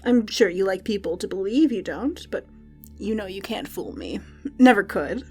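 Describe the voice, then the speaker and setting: A woman playing a cheeky, evasive underground fight announcer in an audio drama, teasing and quick to get defensive. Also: medium pitch, expressive, dark tone, slightly rough. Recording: music under the voice.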